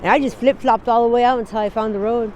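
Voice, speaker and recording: A woman in her forties from Wyoming, telling her story in a halting, rambling way during an outdoor street interview. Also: a little high, fairly even intonation, darkish tone, slight background noise. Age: 40s. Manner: rambling, halting, laughs easily.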